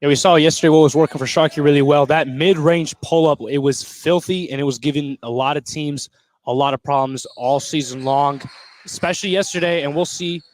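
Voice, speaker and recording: A man's voice: a little high, steady tone, fairly quick. A man giving casual, enthusiastic analysis as co-commentator on a live basketball broadcast. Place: indoor school gym.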